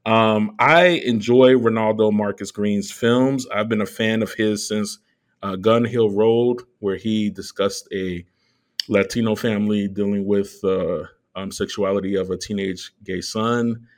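Male co-host, slightly deep voice, conversational film commentary, measured and hesitant delivery. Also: steady tone. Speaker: podcast co-host.

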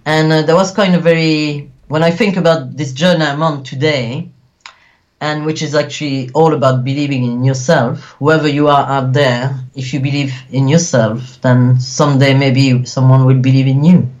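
A man's voice: medium pitch, steady tone, moderate pace, a little mellow. Man, Belgian French accent, earnest, rambling, filler-heavy, muffled audio. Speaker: guest actor. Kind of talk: casual interview.

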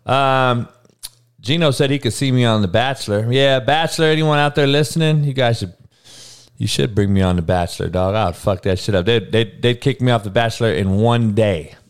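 A man's voice: medium pitch, a little fast, clear, resonant tone. A man in his forties hosting a podcast livestream, chatting loosely with viewers and swearing a lot. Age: 40s. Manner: profane, loose and rambling, talks to the chat. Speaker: podcast host. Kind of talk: casual livestream chat with viewers.

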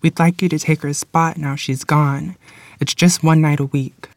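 A woman's voice: sits low, steady tone, normal speed, no echo. A woman playing a forward, plainspoken small-town club musician in a period table read. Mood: friendly, persuasive.

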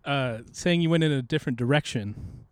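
A man's voice: medium pitch, a little fast, no room sound. A man co-hosting a casual sports podcast with dry, joking banter, recorded outdoors in a courtyard. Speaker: podcast co-host.

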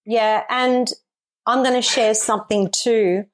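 A woman's voice: a little high, steady tone, slow, poor audio. A woman hosting a singing podcast, chatty and a little dramatic, talking while ill with covid. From Australia. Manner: chatty, dramatic, laughs easily. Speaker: podcast host. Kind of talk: casual interview chat.

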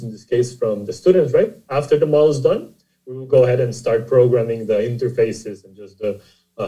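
A man from Costa Rica, a startup CEO, answering interview questions in a halting way, often repeating words as he explains. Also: medium pitch, warm tone, rough recording. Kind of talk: conversational interview.

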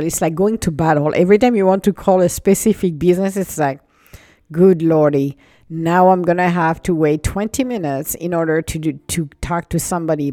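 A woman with a non-native English accent hosting a casual solo podcast, animated and laughing often, speeding up when excited. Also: fairly low pitch. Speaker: podcast host.

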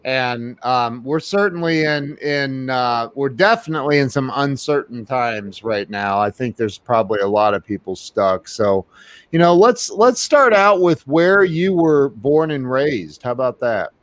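A man's voice: medium pitch, lively intonation, no echo. A man hosting an interview podcast, talking casually with lots of fillers and restarts. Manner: halting, many fillers and restarts. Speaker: podcast host.

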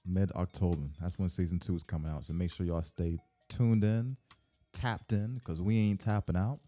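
A man's voice: sits low, steady tone, moderate pace, dark tone. A man co-hosting a podcast in casual, slangy chat, laid-back and playfully cocky. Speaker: podcast co-host. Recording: music under the voice.

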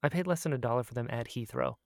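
A man's voice: mid-range voice, racing, vocal fry, no echo, studio quality. A man co-hosting a podcast, chatting casually in a jokey, playful manner. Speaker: podcast co-host. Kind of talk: casual chat.

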